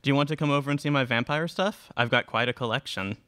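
A man's voice: mid-range voice, fast, pushed and bright, smooth, no room sound, close to an everyday voice. A young man playing a suave male vampire in a student radio play, talking casually and coaxingly. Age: young adult. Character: suave male vampire luring a new admirer. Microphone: microphone on boom stand.

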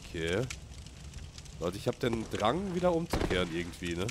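A man with a fairly low voice talks his way through a video game, loose and chatty, turning to his viewers now and then. He has a slight cold and sniffles a little. A loud hiss of noise sits under the recording. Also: sing-song, moderate pace. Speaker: let's play gamer. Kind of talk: casual gameplay commentary.

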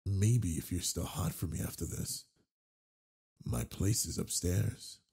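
A man playing a flirtatious, confident werewolf alpha with a husky, gravelly voice in an intimate roleplay audio drama, teasing and tender toward the listener. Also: low-pitched, expressive, takes it slowly, dark tone, heavily acted.

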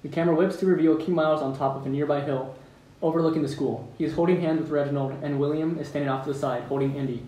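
A man's voice: a little high, fairly even intonation, quick pace, mellow. A man reading stage directions aloud at an amateur script table read in a room at home, in a plain manner with some amusement. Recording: roomy, distant mic with background noise.

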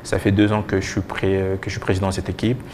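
A young man giving halting answers with repeated words as a guest in a TV interview. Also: low-pitched, completely flat delivery, darkish tone, slight background noise. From DR Congo and Belgium. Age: young adult. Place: TV studio. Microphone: lapel mic.

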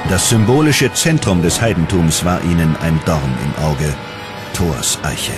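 A man narrates a documentary in a low voice with wide, animated intonation. His delivery is measured and dramatic, like scripted storytelling. Loud music plays under him. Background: loud background music.

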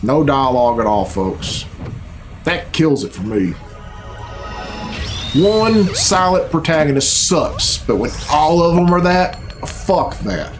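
A man in his thirties speaks in a somewhat high voice over clearly audible game music. He gives casual, off-the-cuff reaction commentary, bluntly sarcastic and full of profane grumbling. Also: sing-song, slow. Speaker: reaction video host. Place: small bedroom at home, indoors. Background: game cutscene music.

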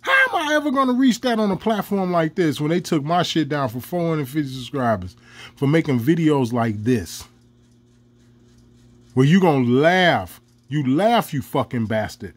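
A man's voice: a little high, no room sound. A man, ranting angrily in a profane commentary. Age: 40s. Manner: profane, heated, laughs mid-rant.

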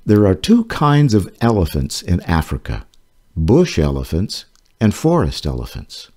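A man with a very deep, warm voice reads a children's book aloud at an unhurried pace. His intonation swoops up and down in a lively way to keep young listeners interested. He sounds gentle and clear, like a storyteller at bedtime. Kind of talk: slow children's read-aloud. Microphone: voice-over over book pages.

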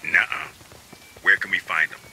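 An actor playing a cynical, streetwise squad adviser in a video game, talking in a sardonic, clipped way. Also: male voice, deep voice, normal speed, creaky, sharp tone, slight background noise, heavily acted, low-quality recording. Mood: dry. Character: wry, streetwise male squad adviser, cynical rebel realist. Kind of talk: video game cutscene and mission dialogue acting. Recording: game music behind the voice.

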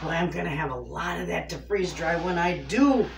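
A woman's voice: lowish voice, normal speed, creaky. A woman in her fifties chatting to viewers in a homey way while cooking in her home kitchen. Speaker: homestead cooking vlogger. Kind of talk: casual cooking chat to camera. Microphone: far camera on a tripod. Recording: echoey kitchen from a distance, faint noise.

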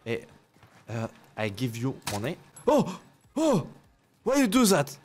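A young French man with a French accent, chatting excitedly while streaming a game, mixing French and broken English. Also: on the high side, slow. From France. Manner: animated, shouty, mixes French and broken English. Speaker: gaming streamer. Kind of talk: excited gameplay chat with a stranger. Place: home gaming room. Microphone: headset mic.

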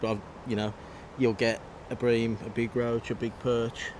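A man, giving a relaxed, detailed walk-through of his fishing rig outdoors by a river. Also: medium pitch, normal speed. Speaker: match angler guest. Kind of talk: casual explanation of fishing tackle. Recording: outdoor recording, faint noise.

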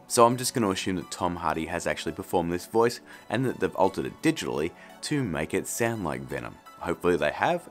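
A man in his thirties hosts a tutorial with a lot of swing in his pitch. He is chatty and jokey, keeping it informal, with music playing underneath. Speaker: host of an effects tutorial channel. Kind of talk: casual tutorial presenting.

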